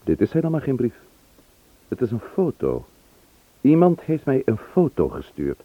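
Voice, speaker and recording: A man's voice: medium pitch, huge pitch swings, moderate pace, no room sound. An actor in a Dutch radio play, playing a polite, inquisitive Englishman, a detective on holiday. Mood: puzzled. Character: courteous, inquisitive Englishman, a police inspector on holiday. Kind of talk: Dutch radio drama acting. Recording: muffled lo-fi old radio recording.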